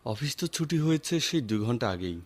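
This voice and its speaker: An actor playing a polite, deferential office worker in a Bengali radio drama. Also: male voice, mid-range voice, big pitch swings, a little fast. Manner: courteous, deferential.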